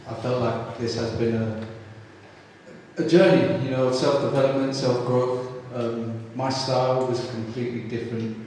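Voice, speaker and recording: A man's voice: mid-range voice, steady tone, normal speed, a little mellow, slight background noise. A man in his twenties from South London, a photography trainee speaking earnestly, with many "um"s and "you know"s. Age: 20s. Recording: echoey hall, distant mic.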